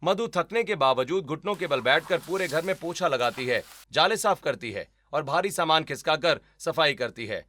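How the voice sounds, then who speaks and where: Bright, forceful male story narrator. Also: on the high side, expressive, moderate pace, hardly any pauses, soft background music. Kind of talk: scripted dramatic story narration.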